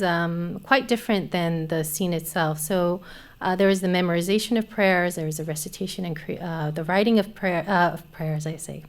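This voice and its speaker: Thoughtful woman poet, lowish voice. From Afghanistan. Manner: thoughtful, hesitant with many fillers. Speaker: poet and literature teacher. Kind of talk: poetry reading and panel conversation. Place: small studio stage. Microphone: studio mic on a stand.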